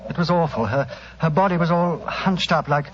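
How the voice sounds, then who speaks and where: An actor playing a naive, nervous young English aristocrat in 1940s radio drama, proper and hesitant. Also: male voice, a little high, normal speed, close to an everyday voice. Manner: hesitant, proper, easily swayed. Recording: muffled, noisy 1940s radio recording.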